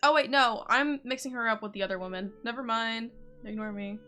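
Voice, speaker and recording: A woman's voice: on the high side, fairly quick, pushed and bright. A woman streaming a game with casual, sarcastic, reactive commentary.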